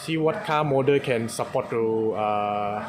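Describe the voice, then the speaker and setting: A Malaysian-accented man walks through a technical setup in an informal way. He speaks slowly, in short halting phrases that switch between Malay and English. His pitch is average, and there is clear ambient noise behind him. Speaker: car accessories installer giving tutorial. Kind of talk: informal step-by-step product demonstration. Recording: clearly noisy, with ambient background sound.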